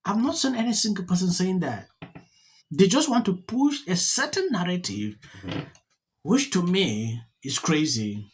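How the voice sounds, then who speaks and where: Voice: medium pitch, big pitch swings, measured pace, husky. A YouTube commentator giving earnest solo commentary and speaking directly to viewers.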